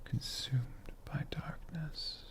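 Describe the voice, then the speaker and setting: A man telling a story in a hushed ASMR whisper, in short halting phrases with long pauses between them. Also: on the high side, steady tone. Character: friendly storytelling dragon.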